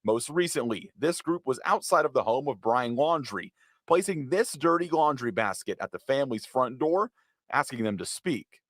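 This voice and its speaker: A man's voice: medium pitch, lively intonation, moderate pace, a bit bright, no room sound. A man reading a scripted television news report in a reporter's delivery.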